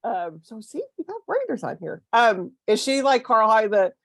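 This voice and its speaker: A woman's voice: fairly high pitch, very animated, moderate pace, a bit bright, no room sound. Chatty california woman hosting book talk, voice jumps all over, dry close sound. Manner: chatty, laughing, teasing. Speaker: bookstore host. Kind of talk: casual chat.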